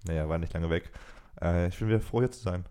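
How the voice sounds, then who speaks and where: Young male podcast co-host, lowish voice, chatty, laughs a lot, loose and rambling. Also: fast talker, dark tone. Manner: joking, laughs often, rambling with restarts. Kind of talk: casual chat.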